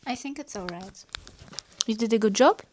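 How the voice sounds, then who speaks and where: A woman talks in a relaxed way with friends. She is reassuring and chatty, and she explains at length with plenty of "like" fillers and restarts. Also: a little high, moderate pace, slight background noise. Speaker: friend giving feedback. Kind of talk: casual chat among friends.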